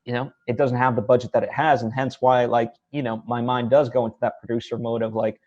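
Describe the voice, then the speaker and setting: Male podcast host, casual, halting, mid-pitched, on slightly lo-fi sound. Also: little pitch movement, darkish tone. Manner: halting, thinking aloud, heavy use of "like" and "you know". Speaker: interview host, independent filmmaker. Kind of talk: casual chat.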